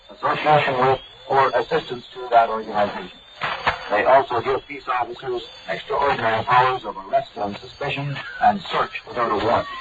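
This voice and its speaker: Man reading a formal news report. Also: mid-range voice. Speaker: news correspondent. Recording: distant, lo-fi, noisy shortwave.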